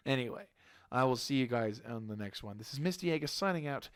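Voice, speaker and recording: A man with a slightly hoarse throat giving a casual channel update as a gaming YouTuber, rambling and halting with frequent restarts. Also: mid-range voice, lively intonation, dry recording, clean studio sound.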